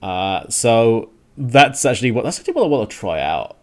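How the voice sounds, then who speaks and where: A man's voice: medium pitch, sing-song, moderate pace. A man hosting a music radio show, rambling casually and thinking aloud with hesitant digressions.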